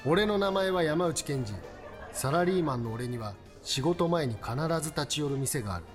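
Lovestruck salaryman male actor, Kansai accent, slightly high voice, overly earnest, awkward, comic radio play. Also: animated, normal speed, music in the background, crowd noise, close to an everyday voice. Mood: rapturous, earnest. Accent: Kansai Japanese. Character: earnest lovestruck salaryman regular at a tea shop. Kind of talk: comedic radio drama acting with dramatic monologue.

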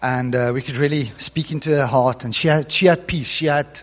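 A man's voice: medium pitch, normal speed. A male pastor whose first language is Afrikaans, telling a story in an earnest sermon that builds to emphatic preaching.

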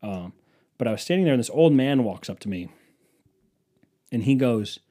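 A man's voice: mid-range voice, animated, normal speed, dark tone. A man in his twenties telling a long, wandering story to his phone camera in a museum, profane and self-mocking. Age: 20s. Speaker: vlogger. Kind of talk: rambling casual storytelling. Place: museum exhibit, indoors.

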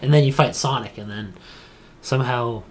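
A man's voice: medium pitch, normal speed. A man co-hosting a casual gaming chat, talking like an opinionated, informal fan who peppers his speech with "dude". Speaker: podcast co-host. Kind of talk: casual chat about video games.

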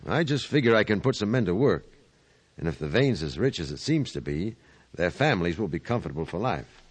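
An actor playing a quiet, likable, well-spoken American gentleman in a radio Western. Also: low-pitched, big pitch swings, fairly quick, husky, exaggerated voice. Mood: earnest. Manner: quiet, modest, likable, educated speech. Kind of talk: 1950s Western radio drama acting.

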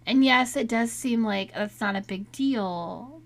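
Woman getting over a cold, a bit raspy, talks slow and easy, chatty and open. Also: fairly high pitch. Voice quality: raspy at times. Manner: chatty, unhurried, candid. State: recovering from a cold, slightly raspy. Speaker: podcast host. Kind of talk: casual solo talk.

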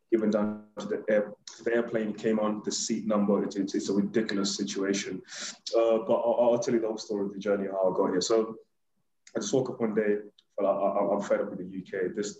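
A man's voice: on the low side, darkish tone. A man born in Kenya, casually and bluntly telling the story of a trip, with plenty of restarts. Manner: blunt, plain-spoken, fond of repeating himself. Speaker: guest telling his travel story. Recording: echoey room.

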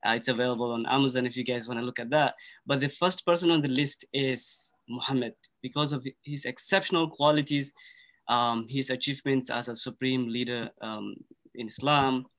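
Earnest Somali-native man, measured podcast guest, middle-range voice. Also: medium pitch, a bit bright. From Kenya. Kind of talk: casual conversation.

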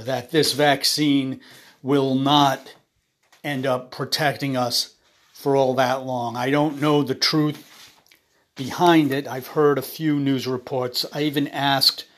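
A man hosting his own podcast while ill, his voice raspy, talking haltingly with many ums and uhs into a phone. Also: mid-range voice. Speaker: podcast host. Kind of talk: casual solo podcast monologue.

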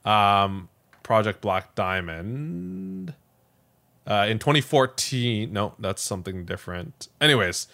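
A man hosting a podcast, casually recounting a story in a rambling, animated way. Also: lowish voice, takes it slowly, creaky. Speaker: podcast host.

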